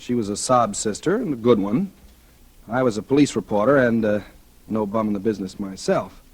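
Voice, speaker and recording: Husky wisecracking reporter, old radio. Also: male voice, fairly low pitch, expressive, brisk, darkish tone. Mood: reflective, narrating. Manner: wisecracking, casual, slangy. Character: cocky, restless male newspaper reporter and aspiring crime novelist. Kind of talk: 1940s radio drama acting. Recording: old 1940s radio recording.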